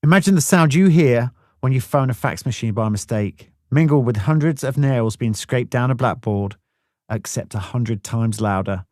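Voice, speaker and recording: A man's voice: medium pitch, moderate pace, a little mellow, no echo, clean studio sound. A man narrating a first-person memoir audiobook in a blunt, plain-spoken way, swearing freely.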